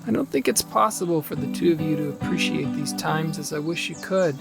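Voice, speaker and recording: A man's voice: a little high, a little fast, creaky, music in the background. A man playing a wistful, brooding young adult elder brother in an audio drama.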